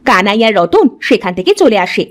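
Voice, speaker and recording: A woman's voice: mid-range voice, very animated, moderate pace. A woman narrating a children's cartoon tale in a scripted storybook style.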